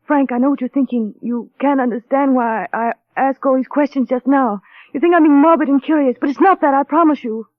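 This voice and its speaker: Anxious young bride, vintage radio drama: high-pitched, hesitant, earnest, dry and tinny sound. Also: female voice, dry recording. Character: timid, anxious, insecure young wife. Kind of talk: 1930s radio drama acting. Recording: tinny, band-limited old radio recording.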